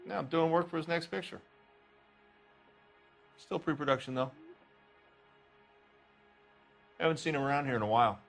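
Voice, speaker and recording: A man's voice: a little high, a little fast, gravelly, fairly bright tone, faint music behind. An actor playing an evasive, defensive man in the film trade, guarded and clipped, in a video game cutscene.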